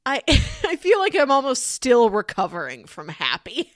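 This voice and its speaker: A woman's voice: sits high, huge pitch swings, measured pace, no echo, studio quality. A woman co-hosting a podcast, chatting casually about comics and films. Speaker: podcast co-host.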